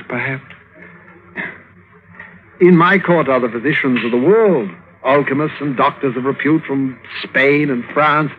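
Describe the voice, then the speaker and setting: An actor as a silky, cruel prince on tinny old radio. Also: male voice, mid-range voice, lightly acted. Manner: theatrical, musing, commanding. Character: cruel, silky young despot prince plotting against his mother. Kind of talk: old radio drama acting. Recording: tinny, hissy old radio broadcast recording.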